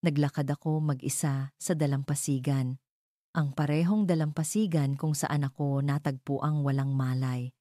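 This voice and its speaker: A woman reading a dramatic first-person story as a narrator, in a measured, deliberate way. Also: low voice, dark tone, no room sound, studio quality.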